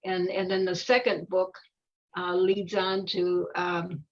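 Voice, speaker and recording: An older woman chatting casually about a children's book, haltingly, often searching for her words. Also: on the low side, level tone, dull audio. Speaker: volunteer storybook reader.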